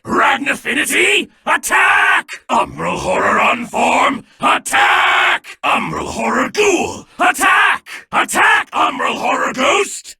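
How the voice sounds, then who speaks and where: A man voicing a gleefully evil, theatrical alien villain in an anime card game, shouting his moves with mocking relish. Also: very high-pitched, vocal fry, recorded from a distance, heavily acted.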